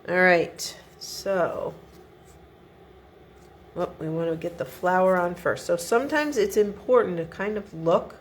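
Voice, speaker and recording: A woman's voice: on the low side, unhurried, a little hiss or hum. A middle-aged woman giving a chatty step-by-step card-making tutorial at her home craft desk, sniffly from a cold. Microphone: overhead camera above the desk.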